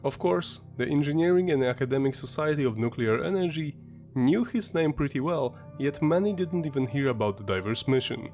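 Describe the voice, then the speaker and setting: Brief: male host, stuffy sinus-congested voice, mid-pitched, measured documentary read, faint music underneath. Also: animated, a little mellow. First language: Polish. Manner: measured, explanatory storytelling. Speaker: history channel host. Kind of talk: scripted documentary narration.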